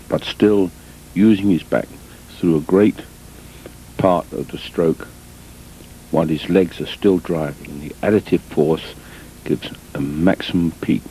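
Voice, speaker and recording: A man giving measured, deliberate instructional sports commentary as a coach, in short phrases broken by pauses, on an old VHS tape recording. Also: dark tone. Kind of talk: instructional film commentary over footage. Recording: noisy, muffled old VHS tape, rumble.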